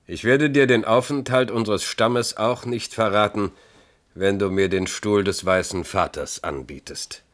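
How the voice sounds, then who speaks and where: An actor in a German radio play, playing a proud, weary older Native chief and father with a solemn, measured, declamatory delivery. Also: fairly low pitch, a bit bright, no echo. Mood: defiant, solemn. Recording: clearly noisy old radio recording.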